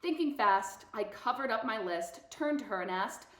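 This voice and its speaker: A woman's voice: fairly high pitch, lively intonation, moderate pace, a bit bright, reverberant. A woman reading as a self-deprecating thirteen-year-old boy, telling his story in a wry, confiding way from a novel. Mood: wry, exasperated. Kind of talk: dramatised novel read-aloud.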